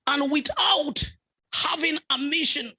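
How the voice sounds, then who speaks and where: A man's voice: very high-pitched, slow, pushed and bright. A man preaching a sermon as a bishop, driving his points with repeated phrases and rising fervour.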